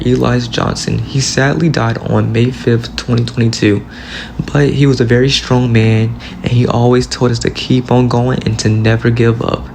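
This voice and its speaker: A man's voice: mid-range voice, creaky, a little mellow, slight background noise. A man, steadily reading a prepared class presentation. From Louisiana, US. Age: early 20s. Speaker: student presenter.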